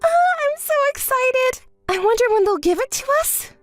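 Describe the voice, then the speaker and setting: A woman's voice: very high voice, expressive, measured pace, a bit bright, soft background music, heavily acted. A woman voicing a spoiled, entitled young woman who whines and shrieks. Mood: demanding. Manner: whiny, demanding, shrieking. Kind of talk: dramatized story voice acting.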